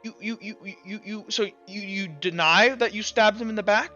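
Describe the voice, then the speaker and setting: Man playing a pointed high-pitched prosecutor, music behind. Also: pushed and bright, dull audio. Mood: probing. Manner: halting, pressing, repeats words while questioning. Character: accusing courtroom prosecutor, pointed, skeptical, methodical. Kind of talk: improvised tabletop roleplay.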